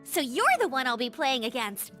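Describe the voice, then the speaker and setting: A woman's voice: high-pitched, lively intonation, moderate pace, bright, forceful tone, faint music behind. A woman voicing a spirited young girl challenging the player to a card duel in a video game. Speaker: game character opponent. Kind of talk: game character voice lines.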